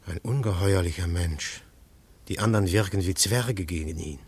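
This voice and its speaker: A fairly deep male voice with a husky grain. It is an observant newcomer in a radio play, reflective and full of wonder. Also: normal speed, darkish tone. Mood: wondering, curious. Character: observant, fascinated male newcomer among conspirators, narrating. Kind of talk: German radio play acting.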